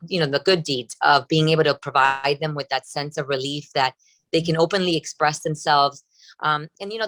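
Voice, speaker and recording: A woman's voice: sits low, fairly bright tone. A middle-aged Cuban-born woman, a breast surgeon whose first language is Spanish, answering interview questions in an earnest way and explaining with analogies. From Cuba.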